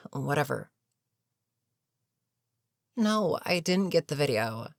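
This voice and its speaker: Voice actor doing a tired older villain for audio rp, gravelly n dry, super close sound, wry and kinda protective. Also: mid-range voice, sing-song, dry recording, heavily acted. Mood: exasperated, wry. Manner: sighing, deliberate, wry. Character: older, experienced villain, weary, dryly sarcastic, reluctantly protective. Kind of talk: intimate audio roleplay monologue.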